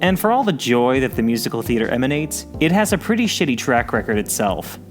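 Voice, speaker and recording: A male host narrating a scripted episode in a reflective, measured tone. His pitch moves freely through his sentences, and faint music plays beneath him. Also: sing-song.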